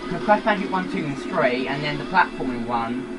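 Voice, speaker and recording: A man co-commentating casually over gameplay, halting and often repeating his words, with game music behind him. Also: fairly high pitch, expressive. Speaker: gaming co-commentator.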